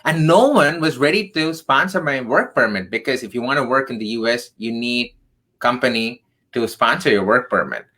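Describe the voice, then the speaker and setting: A man's voice: mid-range voice, lively intonation, normal speed, sharp tone. A man from southern India in his thirties, a tech career coach telling his story in an earnest, emphatic way, tagging points with "right?". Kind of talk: radio interview storytelling.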